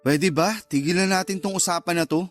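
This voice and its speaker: A man's voice: high-pitched, a little fast, faint music behind, natural voice. A man acting a cocky, teasing and easily wounded secret lover, with a Filipino accent, in a radio love drama. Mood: irritated.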